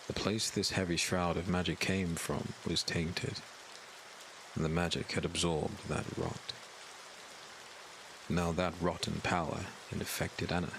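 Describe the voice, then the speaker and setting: A man narrating a scripted dark fantasy audiobook in a grave, dramatic storytelling manner. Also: low voice, level tone, a little slow, creaky, noisy background.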